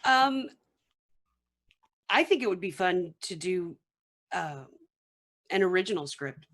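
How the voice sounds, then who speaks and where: A woman chatting casually as a panelist on a video call, halting as she searches for her words. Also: lowish voice, expressive, a bit bright. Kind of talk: casual chat. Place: remote group video call.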